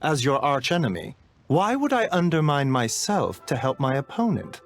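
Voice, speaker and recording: A calm, gentle physician speaks in a soft, measured way. His voice sits a little high and moves lively up and down. Faint music plays behind. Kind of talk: video game voice acting.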